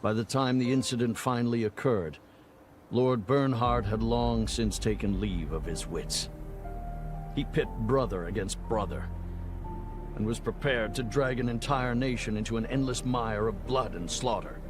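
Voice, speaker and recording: Male actor as fantasy game general, medium pitch, sober authority, earnest formal delivery, music behind. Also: moderate pace, close to an everyday voice. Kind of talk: dramatic fantasy game cutscene acting.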